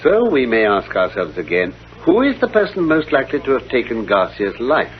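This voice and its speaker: Vintage radio drama, muffled: analytical sleuth, authoritative, precise, highly varied pitch. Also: male voice, wildly swooping pitch, brisk. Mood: intent, analytical. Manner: precise, clipped, authoritative deduction. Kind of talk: old-time radio drama acting. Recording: dull vintage radio recording.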